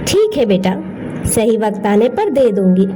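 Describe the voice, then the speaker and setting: A woman voicing a gentle, secretive old grandmother in a children's story. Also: mid-range voice, sing-song, a little slow, background noise. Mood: tender. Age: older.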